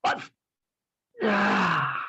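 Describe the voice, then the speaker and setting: A man's voice: high voice, drawn-out words, sharp tone, breathy edge, dull audio, exaggerated voice. A man playing a dying man who gasps weakly and desperately in broken phrases in a radio drama. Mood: failing, urgent. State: gasping, very weak. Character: dying man gasping desperate last words.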